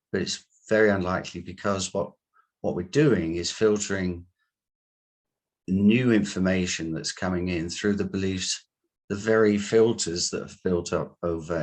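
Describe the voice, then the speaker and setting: A man, a coach being interviewed, explaining his work in deliberate, halting phrases. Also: sits low. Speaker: guest, coach and hypnotherapist.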